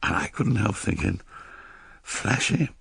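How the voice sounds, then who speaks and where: A man's voice: lowish voice, expressive, unhurried, gravelly, a bit bright, husky, no echo, exaggerated voice. An actor playing a shameless old Victorian soldier with an English accent, recounting his memoirs in a roguish, boastful, cynical way in a radio drama. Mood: wry, boastful. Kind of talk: dramatised first-person memoir narration.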